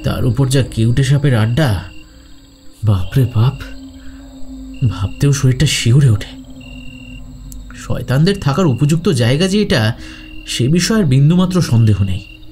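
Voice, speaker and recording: An actor playing an uneasy, admiring schoolboy sidekick in an adventure audio drama, with an anxious, questioning manner. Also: male voice, mid-range voice, expressive, unhurried, long pauses, warm tone, faint music behind. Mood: shuddering unease. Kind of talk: Bengali audio drama acting.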